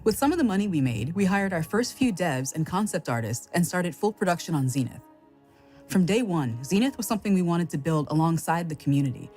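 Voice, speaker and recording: A woman's voice: fairly low pitch, expressive, fairly quick, darkish tone, soft background music. A woman delivering a scripted announcement as a company co-founder addressing a community.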